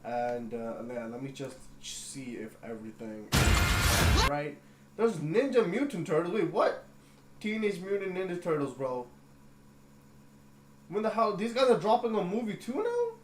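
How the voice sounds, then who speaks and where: A man hosting a casual livestream, rambling and easily excited. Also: high-pitched, a little slow. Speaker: livestream host. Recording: echoey room with faint noise.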